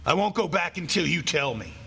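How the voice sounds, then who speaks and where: A man's voice: a little high, very animated, brisk, vocal fry, sharp tone, slightly rough, heavily acted. An actor playing a stubborn, desperate man who stammers as he argues with ghosts in a stage radio play.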